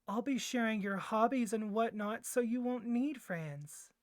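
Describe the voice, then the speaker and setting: A woman voicing a doting, clingy young yandere boyfriend kidnapper in a soft ASMR roleplay, gushing and coaxing, with flustered hesitations. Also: on the high side, a little slow, dry recording, clean studio sound, lightly acted. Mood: possessive, musing.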